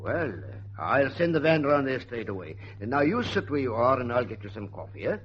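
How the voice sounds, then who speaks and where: A man's voice: medium pitch, very animated, fairly quick, lightly acted. A man playing a kindly, concerned village police sergeant in a radio drama. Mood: reassuring. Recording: dull, noisy old radio recording.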